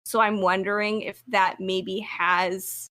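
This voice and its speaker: A woman's voice: medium pitch, little pitch movement, slow, a bit bright. A woman co-hosting a podcast, chatting informally and candidly with a giggly manner. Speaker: returning podcast co-host. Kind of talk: casual chat.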